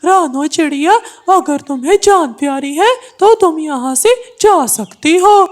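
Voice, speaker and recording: A woman's voice: high-pitched, animated, measured pace. A woman voicing a mean, stubborn male crow in a cartoon story, taunting and threatening.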